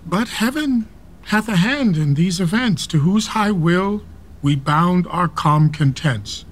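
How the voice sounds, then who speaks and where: Slow, high-voiced stern old English duke. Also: male voice, expressive, full character voice. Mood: grave, sorrowful. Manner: declamatory, measured verse delivery. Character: stern elderly English duke, proud, loyal, quick to fury. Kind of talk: Shakespeare radio play acting, archaic verse.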